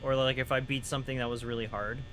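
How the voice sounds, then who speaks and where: A man streaming a game and chatting casually with viewers in a soothing, calm voice, rambling with lots of "like" and "you know". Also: medium pitch, quick pace, slight background noise. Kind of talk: casual chat with stream viewers while gaming.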